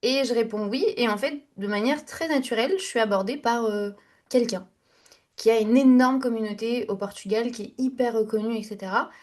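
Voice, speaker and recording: A woman's voice: a little high, brisk, a little mellow. Chatty young woman telling her story. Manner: candid, chatty, many fillers and restarts. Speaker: podcast guest, entrepreneur. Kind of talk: casual interview storytelling.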